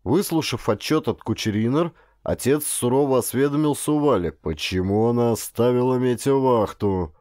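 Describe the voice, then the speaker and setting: A man narrating a literary audiobook in an expressive, dramatic storytelling manner. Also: mid-range voice, moderate pace, few pauses, no room sound, clean studio sound.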